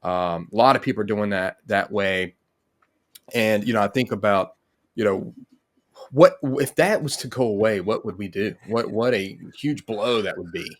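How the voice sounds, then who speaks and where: A man's voice: lowish voice, moderate pace. A middle-aged man hosting a casual interview in a rambling, conversational way. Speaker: interview host. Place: home room with bookshelf, on video call.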